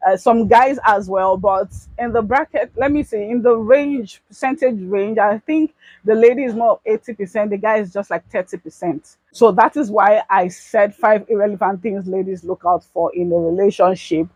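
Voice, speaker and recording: A woman's voice: mid-range voice, normal speed, a little mellow, no echo, rough recording. A young Nigerian woman talking casually to her viewers in an advice vlog. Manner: chatty, addresses viewers as bestie. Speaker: YouTube vlogger.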